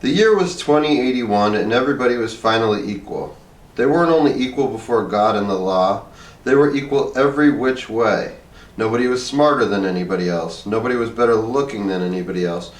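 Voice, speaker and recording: A man reading a short story aloud as an expressive narrator. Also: fairly low pitch, brisk. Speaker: story reader. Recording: echoey room, distant mic, faint noise.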